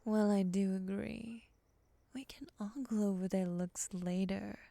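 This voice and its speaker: A woman playing a calm, condescending, predatory moth monster woman in an ASMR roleplay, speaking softly and often in a whisper. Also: medium pitch, a little slow, gravelly, no echo. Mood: dry, probing.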